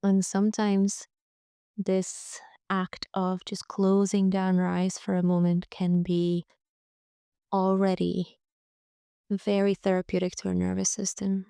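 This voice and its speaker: Slow, creaky-voiced woman guiding relaxation. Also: medium pitch, monotone, no room sound, clean studio sound. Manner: gentle, soothing, pausing often. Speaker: yoga nidra guide. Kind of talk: guided relaxation meditation, soft and unhurried.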